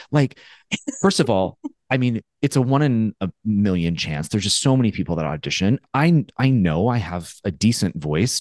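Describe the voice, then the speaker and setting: A man in his forties co-hosting a podcast over a video call, telling a story in a casual, animated way and acting out his own thoughts. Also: fairly low pitch, no echo, studio quality. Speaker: podcast co-host. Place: home studio with brick wall. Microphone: headphones and studio mic on a video call.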